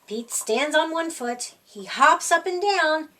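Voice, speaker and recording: A middle-aged woman reads a picture book aloud for young children. Her voice is high and swings widely in pitch. She takes her time, spacing the phrases slowly and deliberately. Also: slight background noise. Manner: animated, slow, deliberate storytelling for small children. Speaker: library storytime reader. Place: small room indoors.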